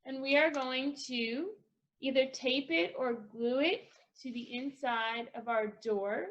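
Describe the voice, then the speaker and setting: A woman leads a children's craft lesson at a very unhurried pace, guiding each step with encouragement. Her voice sits a little high. The sound is somewhat dull, with music behind her. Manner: encouraging, instructional.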